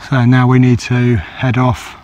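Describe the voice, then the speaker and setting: A man with a slightly croaky voice, chatting casually to a handheld camera while walking a grassy hillside, with plenty of ums and hesitations. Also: medium pitch, monotone, unhurried, a little mellow. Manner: rambling, hesitant with many fillers. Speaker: walking vlogger. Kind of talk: casual hiking commentary to camera. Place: outdoors on open grassy hillside. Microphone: handheld camera held by the walker.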